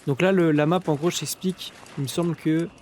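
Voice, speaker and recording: A man's voice: fairly high pitch, steady tone, a little fast, a little hiss or hum. Young man streaming, slangy banter. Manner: slangy, joking banter with a friend. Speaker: streamer hosting the live. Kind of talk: casual gaming chat. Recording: loud game audio underneath.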